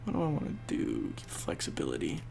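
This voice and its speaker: Young male gaming host, congested and gravelly, rambling play-by-play. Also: extremely low pitch, wildly swooping pitch, fairly quick, darkish tone, faint music behind. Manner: rambling, thinking aloud while playing. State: congested, losing his voice. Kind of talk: casual gameplay commentary.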